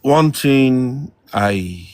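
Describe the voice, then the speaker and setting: A middle-aged man, a guest elder giving measured marriage advice over a distant remote call line. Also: medium pitch, animated. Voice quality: loud voice. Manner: slow, measured advice with pauses.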